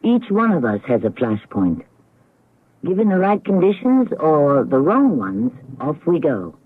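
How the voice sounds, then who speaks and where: Male mystery-drama narrator, measured and dramatic, expressive pitch, narrow-band vintage radio sound. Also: breathy edge. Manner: measured, theatrical. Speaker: host and narrator. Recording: tinny, narrow-band old radio recording.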